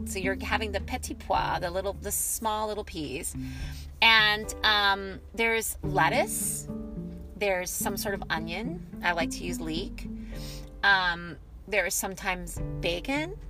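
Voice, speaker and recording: Chatty woman with a gravelly voice. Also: fairly low pitch. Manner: enthusiastic, rambling, frequent ums and asides. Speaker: cookbook author and home cook. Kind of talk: casual chatty food vlog.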